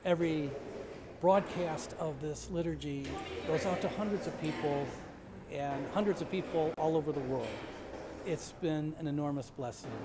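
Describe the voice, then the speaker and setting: An older priest thanks viewers and makes an appeal, speaking straight to the camera, his voice slightly higher than most men's. He stands outdoors in a church garden, and steady outdoor noise runs clearly under his words.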